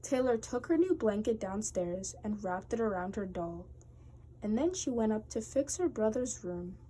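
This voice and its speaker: A woman reading a children's picture book aloud as a storytime host, with deliberate, expressive storybook delivery. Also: medium pitch, a little hiss or hum.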